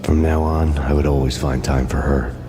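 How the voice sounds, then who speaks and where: An actor narrating as a brooding ex-cop in dry, bitter hard-boiled noir style. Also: male voice, extremely low pitch, fairly even intonation, moderate pace. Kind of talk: scripted noir voice-over. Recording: with loud game music.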